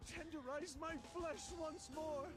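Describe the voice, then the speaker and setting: A man's voice: extremely high pitch, fairly even intonation, unhurried. An actor playing an eager, lovestruck masochistic man in a video game, melodramatically polite and theatrical, pleading as he talks. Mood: fervent, pleading. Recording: game audio with clear music behind.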